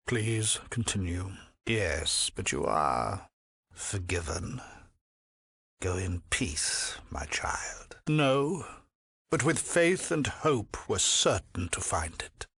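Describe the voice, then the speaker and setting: An actor playing a haughty, sinister cult priest in a video game, pompous and condescending, with silky menace beneath false piety and drops into menacing murmurs. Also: male voice, lowish voice, fairly bright tone, slightly rough, dry recording, full character voice. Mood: patronizing forgiveness, then pious, lofty. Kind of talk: theatrical video game voice acting.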